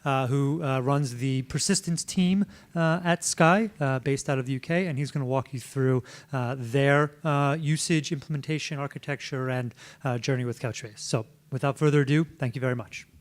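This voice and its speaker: Halting man introducing a speaker. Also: medium pitch. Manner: halting, filler-heavy introduction. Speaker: conference track host introducing a speaker. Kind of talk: event introduction.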